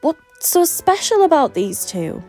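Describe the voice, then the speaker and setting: A woman's voice: high voice, very animated, measured pace, dark tone, faint music behind, pitched above the performer's own voice, heavily acted. A woman voicing an anxious, defensive, self-pitying young man in an audiobook story, with emphatic delivery. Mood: defensive, upset.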